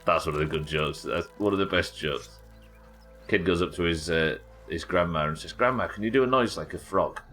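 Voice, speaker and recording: Low, croaky British man streaming. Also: normal speed, a bit bright, faint music behind. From United Kingdom. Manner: chatty, swears, reads chat aloud. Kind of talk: casual gameplay chat.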